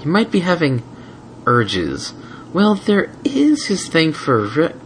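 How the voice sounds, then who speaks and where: A man's voice: fairly high pitch, huge pitch swings, slow pace, a little hiss or hum, voice pushed up, exaggerated voice. A man voicing a teasing, motherly young female pony in a light, coaxing, playful way for a storybook reading.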